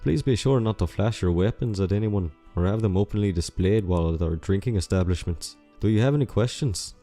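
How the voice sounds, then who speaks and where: Man playing a mellow, courteous fantasy hotel clerk. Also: on the low side, a little fast, natural voice. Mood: polite, businesslike. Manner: formal, courteous, businesslike. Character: polite professional male tailed catfolk hotel clerk. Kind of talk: audiobook character dialogue. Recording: music under the voice.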